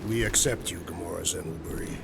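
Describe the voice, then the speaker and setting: A man playing a solemn, literal-minded alien warrior in a video game, with a formal, earnest, deadpan delivery. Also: low-pitched, fast, creaky. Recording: loud music over the voice.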